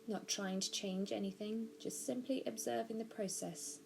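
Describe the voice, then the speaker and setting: A woman narrating a guided body scan meditation, giving gentle, measured instructions slowly with pauses. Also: medium pitch, steady tone, creaky, low-quality recording. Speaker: mindfulness practitioner guiding a meditation.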